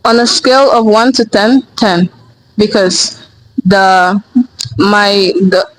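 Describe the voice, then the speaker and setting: Teenage student answering, halting then steady. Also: medium pitch, no room sound, poor audio. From Nigeria. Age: teens. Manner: thoughtful, halting starts, then steady explaining. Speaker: interviewee, high school student. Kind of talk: casual interview answers.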